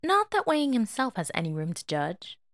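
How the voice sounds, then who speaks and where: A woman narrating a piece of fiction as an expressive, scripted audio story reading. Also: fairly high pitch, a little fast, dry recording, studio quality.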